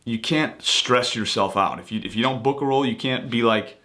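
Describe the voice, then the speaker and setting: A man's voice: mid-range voice, a little fast, sharp tone. A man talking casually and earnestly as an actor sharing motivational tips, repeating key points for emphasis in a conversational way. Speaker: actor giving acting tips. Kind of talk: casual motivational advice talk to viewers. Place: home office.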